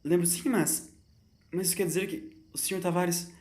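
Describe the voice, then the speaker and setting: A man's voice: high-pitched, fairly even intonation, moderate pace, a little mellow, roomy sound, pitched above the performer's own voice, lightly acted. A man voicing a curious young female companion in an audio story, earnest, questioning and easily confused. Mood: confused, protesting.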